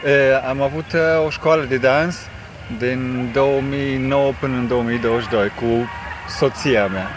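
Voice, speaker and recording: A Romanian man in his fifties with a Romanian accent, answering interview questions haltingly and searching for words. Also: mid-range voice, fairly even intonation, background noise. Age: 50s. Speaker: tango teacher, interview guest. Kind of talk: interview answers, switching between two languages.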